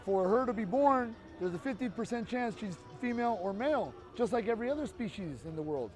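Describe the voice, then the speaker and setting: A man's voice: high-pitched, expressive, brisk, a little mellow. A man with an earnest, emphatic way of talking, making his case to a passerby outdoors on a city street. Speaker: street activist. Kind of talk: persuasive street conversation.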